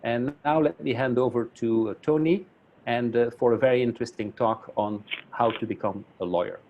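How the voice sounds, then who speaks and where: A man's voice: mid-range voice, normal speed, phone-quality sound, a little hiss or hum. A man from Belgium, a Flemish speaker, introducing a speaker at a webinar in a friendly, self-deprecating way, often restarting his words over a video call. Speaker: academic council chairman introducing a speaker.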